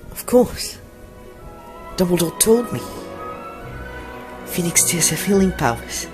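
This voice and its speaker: An actor playing a brave, weary, earnest teenage boy wizard in a hushed manner in an audio drama. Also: medium pitch, big pitch swings, slow pace, music in the background. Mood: weary, reassuring.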